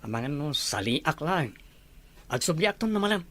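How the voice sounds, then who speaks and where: A man's voice: on the high side, very animated, normal speed, a bit bright. A man plays an insistent family friend in melodrama. He sounds eager, in a radio-drama manner. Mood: anxious. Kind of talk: radio-style drama acting.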